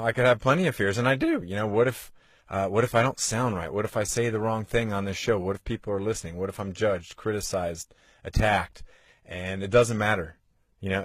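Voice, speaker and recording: A man's voice: fairly low pitch, no room sound, clean studio sound. A man in his forties hosting a motivational podcast, urging listeners on with earnest rhetorical questions. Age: 40s. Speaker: podcast host.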